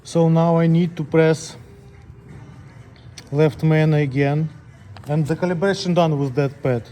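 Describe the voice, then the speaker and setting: Higher-voiced man giving step-by-step instructions, mellow tone. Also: fairly even intonation, a little slow, faint music behind. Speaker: scale technician demonstrating calibration. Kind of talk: hands-on tutorial, unscripted step-by-step instructions.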